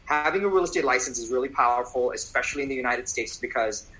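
Casual: ceo guy on a podcast, super punchy bright voice, pushes his points hard, repeats stuff for emphasis. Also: mid-range voice, fast, rough recording. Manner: emphatic, persuasive, repeats for effect. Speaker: guest, company CEO. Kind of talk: interview answers.

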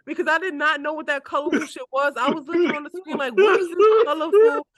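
A woman's voice: high voice, fast talker, crowd noise. A woman in her twenties co-hosting a podcast, chatting casually, teasing and cursing, often breaking into laughter. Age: 20s. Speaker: podcast co-host. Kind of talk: casual chat.

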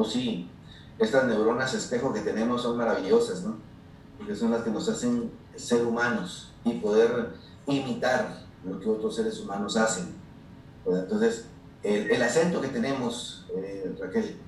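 A man's voice: fairly low pitch, a little mellow. A man hosting a radio talk, explaining child development in a thoughtful way with many restarts. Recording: very echoey, distant, faint noise.

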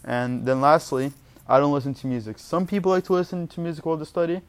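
A man's voice: fairly high pitch, flat delivery, fast talker, clear, resonant tone. A young man whose first language is Portuguese, giving study tips in a casual, rambling vlog and often correcting himself mid-word. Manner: rambling, self-correcting, chatty. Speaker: student vlogger.

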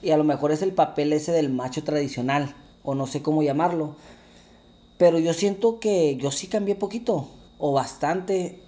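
A man's voice: fairly high pitch, moderate pace, dark tone. A man in his thirties with a Mexican Spanish accent, co-hosting a casual chat with his wife, joking and asking many questions. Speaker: podcast co-host.

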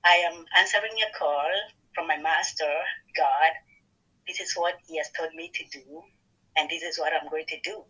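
A woman's voice: deep voice, moderate pace, bright, forceful tone, husky, rough recording. A woman from Kenya, a podcast co-host, talking earnestly and reflectively about her faith and work. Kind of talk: faith-based podcast conversation.

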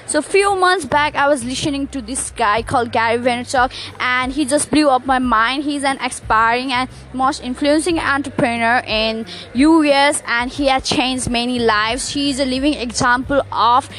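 A woman's voice: high-pitched, a bit bright, a little hiss or hum. A young woman with a Nepali accent hosting a solo motivational podcast, upbeat, with many fillers and restarts. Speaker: podcast host. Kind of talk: casual solo podcast monologue.

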